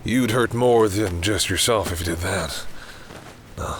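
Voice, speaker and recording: A man playing a confident, condescending male vampire in an intimate audio roleplay, coolly menacing and commanding, with breathy asides. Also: low voice, unhurried, slight background noise. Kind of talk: intimate audio roleplay, acted monologue to the listener.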